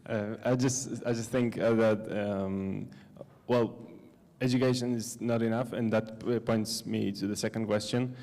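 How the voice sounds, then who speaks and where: Polish-accented man, mellow low voice, creaky, flat delivery, hesitant questioner, hall reverb. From Poland. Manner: halting, searching for words. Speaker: audience member asking questions. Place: large conference hall. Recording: long hall reverb from conference sound system.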